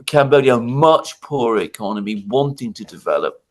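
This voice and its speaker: A man from Greece talks through his views in an easy, conversational way. His voice sits at a middle pitch. He gets animated, often restarting phrases and laughing lightly between points. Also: normal speed. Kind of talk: casual analytical discussion.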